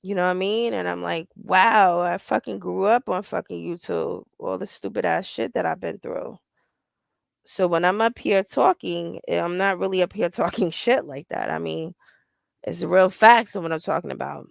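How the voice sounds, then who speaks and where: A woman in her forties hosts a loose livestream chat, talking in a blunt, profane and wandering way. Her voice has a gravelly, crackly edge. The sound is close and dry, with no room echo. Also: on the low side, normal speed. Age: 40s. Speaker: livestream host. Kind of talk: casual livestream chat, reading viewer comments.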